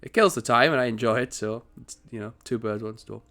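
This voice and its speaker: A man hosting a strategy game commentary, chatty and rambling, with a croaky morning voice. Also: medium pitch, fairly quick, fairly bright tone. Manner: chatty, rambling, self-correcting. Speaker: gaming channel host.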